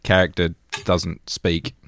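A man's voice: low-pitched, completely flat delivery, slow, fairly bright tone. A man co-hosting a gaming podcast, talking in casual conversation. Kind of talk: casual chat.

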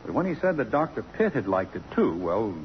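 An actor playing a genial, romantic middle-aged college president with a soothing, slightly hesitant manner in a 1950s radio drama. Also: male voice, medium pitch, sing-song, brisk, close to an everyday voice. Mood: uneasy, soothing. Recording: dull, faintly noisy old radio recording.

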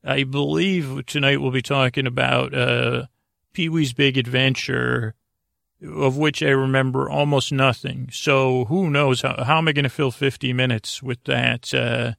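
A man with a creaky voice hosting a sleep podcast, rambling in a halting, meandering, self-deprecating way and drifting into tangents. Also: medium pitch, level tone, a bit bright, dry recording, clean studio sound. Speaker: podcast host.

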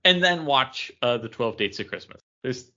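A man's voice: medium pitch, expressive, normal speed, bright, forceful tone. A man co-hosting a podcast, chatting casually as he plays a trivia game. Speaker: podcast co-host. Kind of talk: casual chat during a pub trivia game. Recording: dull, band-limited lo-fi audio.

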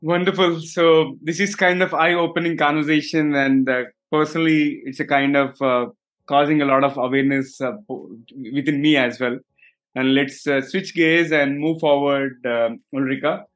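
A man's voice: fairly high pitch, steady tone, normal speed, fairly bright tone. A man hosting a podcast interview, asking questions with hesitant fillers and short affirmations. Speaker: podcast host.

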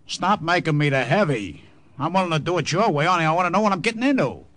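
An actor playing a wary, streetwise American saboteur, blunt and slangy, in old radio drama style. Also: male voice, on the high side, animated, fast talker, a bit bright. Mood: impatient, wary. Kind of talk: 1950s radio drama acting. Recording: noisy old radio recording.

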